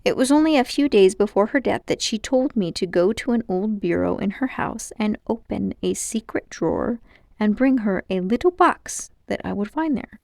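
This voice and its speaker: A woman's voice: medium pitch, lively intonation, moderate pace, gravelly, a little mellow, no room sound, clean studio sound. A woman voicing a pompous, condescending, scheming old man, a self-important magician uncle in a storybook. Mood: secretive, self-satisfied. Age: older. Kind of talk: storybook character voice.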